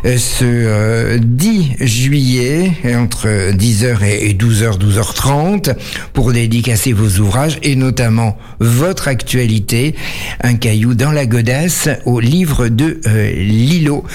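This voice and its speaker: A man's voice: mid-range voice, takes it slowly, darkish tone. A man hosting a local radio show, reading a news bulletin and then interviewing a guest with some hesitant fillers. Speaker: radio host. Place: radio studio.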